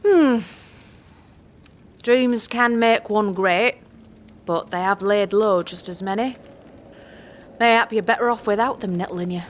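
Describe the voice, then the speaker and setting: A woman's voice: medium pitch, unhurried, pauses often, natural voice. A woman playing a shrewd, dryly kind old healer in a fantasy audio drama, with folksy, teasing, musing speech. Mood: wry, musing.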